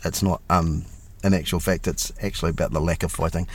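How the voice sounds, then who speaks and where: A man's voice: low-pitched, fairly even intonation, brisk, husky. A middle-aged man, a police officer chatting casually and self-deprecatingly as an interview guest.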